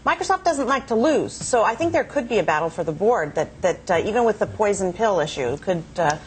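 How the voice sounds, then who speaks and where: A woman panelist on a TV news debate, quick and assertive. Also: mid-range voice, lively intonation, a little hiss or hum.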